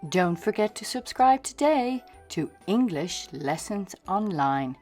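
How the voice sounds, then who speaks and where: A woman presenter with a medium-pitched voice speaks slowly for a children's storytime. Her scripted delivery is lively, with faint music behind her. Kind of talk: scripted intro and sign-off.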